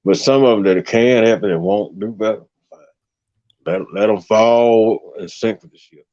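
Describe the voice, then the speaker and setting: A man with a deep bass voice hosting a casual livestream chat, given to preachy rants. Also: measured pace, warm tone, dull audio. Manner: ranting, preachy asides. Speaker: stream host.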